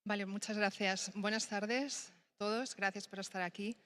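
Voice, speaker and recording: Woman, native Spanish speaker, panel talk, thoughtful, halting, filler-heavy. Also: medium pitch, fairly even intonation, a bit bright. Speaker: panel speaker, secondary school design teacher.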